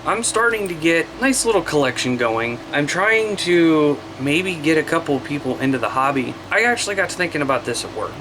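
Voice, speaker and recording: A man's voice: mid-range voice, background noise. A man from the US Midwest chatting casually to viewers as a hobbyist, rambling and plain-spoken while he demonstrates things. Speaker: hobbyist vlogger.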